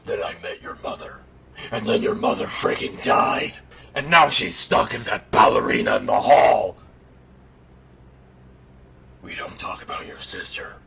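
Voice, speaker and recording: A man voicing an irritable, sardonic undead killer in an animatronic suit, telling a bedtime story in a deadpan, exasperated growl. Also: sits low, heavily acted. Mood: grudging, dry. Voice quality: gravelly, breathy growl. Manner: deadpan dark humor, exasperated. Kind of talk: in-character bedtime storytelling roleplay. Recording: noticeable background noise.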